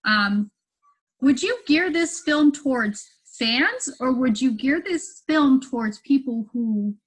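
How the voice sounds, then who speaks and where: A woman's voice: sits high, expressive, slow, poor audio. Woman casually asking a question. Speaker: audience member asking a question. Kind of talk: casual, hesitant question on a video call. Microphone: video call.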